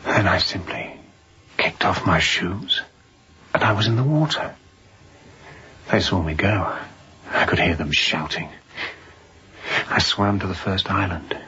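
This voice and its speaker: A very deep-voiced fugitive sailor, weary and haunted. He speaks in hushed, halting confession, often close to a whisper. The radio drama recording sounds dull and muffled. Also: slightly rough, full character voice. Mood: quietly confiding. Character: escaped seaman fugitive, weary, haunted, confiding. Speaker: actor in an audio drama.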